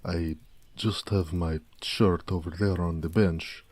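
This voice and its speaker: A man playing a big, muscular orc blacksmith in an intimate audio drama, proud, gentle and affectionate, with courteous, slightly formal speech. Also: deep voice, fairly even intonation, no echo. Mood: sheepish, considerate. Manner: unhurried, soft-spoken, courteous, slightly formal. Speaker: voice actor.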